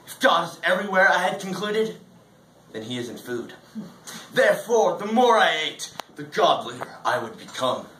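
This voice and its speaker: In a roomy space, a little off the mic, a man performs a hugely fat, self-important man philosophizing about himself in a comic stage monologue. His voice is bright and forceful, declaiming every thought with mock solemnity. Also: on the high side, big pitch swings, moderate pace. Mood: fervent, exultant. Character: hugely obese, grandiose, philosophizing man confessing his gluttony. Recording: roomy, distant mic, faint noise.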